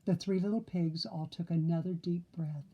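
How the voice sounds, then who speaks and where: A woman reading a children's story aloud in an expressive narrating style. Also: on the low side, normal speed, muffled recording. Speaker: storybook reader.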